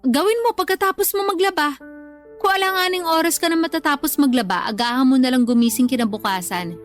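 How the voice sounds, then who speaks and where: A woman's voice: sits high, fairly quick, faint music behind, natural voice. A woman playing a harsh, selfish mother in a Tagalog radio drama, scolding and domineering with a Filipino accent. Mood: bossy. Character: harsh, selfish, money-minded mother scolding her grown child.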